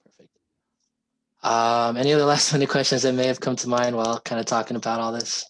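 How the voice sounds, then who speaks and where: A hoarse man explaining software pricing and support on a video call, polite and steady. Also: mid-range voice, fairly quick, a little hiss or hum, low-quality recording. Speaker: sales representative giving a software demo. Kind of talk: video call sales pitch.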